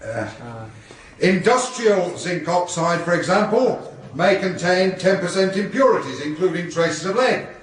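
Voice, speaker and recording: An actor playing a precise university lecturer in a radio drama, measured and instructive. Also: male voice, sits high, animated. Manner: measured, instructive, projecting to a room. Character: precise male university chemistry lecturer addressing a class. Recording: very echoey lecture hall, distant mic.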